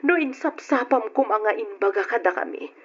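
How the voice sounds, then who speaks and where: A woman's voice: on the high side, lively intonation, normal speed, lightly acted. An actress playing an ashamed, sympathetic middle-aged mother-in-law in an Ilocano radio drama, with an Ilocano accent. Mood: consoling. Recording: muffled, dull old radio drama recording.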